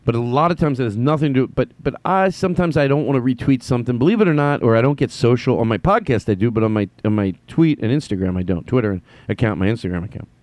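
A man's voice: mid-range voice, expressive, quick pace, hardly any pauses, darkish tone, dry recording. A man hosting a solo comedy podcast, rambling and correcting himself as he jumps between thoughts. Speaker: podcast host. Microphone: headphones on, studio mic.

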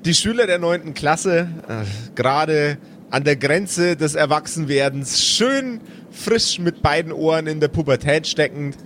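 A man's voice: fairly high pitch, measured pace, a bit bright, a little hiss or hum. A man narrating an improvised tabletop role-playing game as game master, with playful, theatrical phrasing.

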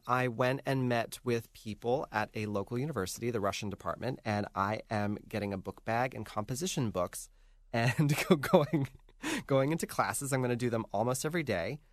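A man's voice: medium pitch, normal speed, no room sound. Chatty man, dry close sound. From North Jersey. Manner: chatty, laughs often, many fillers. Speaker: podcast host. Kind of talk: casual chat.

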